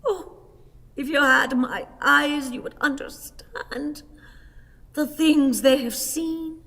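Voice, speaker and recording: An actress playing a querulous, sickly old wife, declaiming with grand indignation and dramatic pauses in a radio play. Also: female voice, sits high, creaky, bright, forceful tone, heavily acted. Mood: aggrieved, wistful. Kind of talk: stage radio play acting. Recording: long hall reverb, theatre sound.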